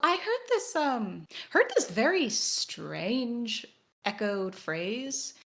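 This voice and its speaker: A woman's voice: fairly high pitch, very animated, extremely slow, vocal fry, a bit bright. A woman co-hosting a podcast, giving casual analysis in an emphatic, wry way and acting out imagined quotes. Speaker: podcast co-host.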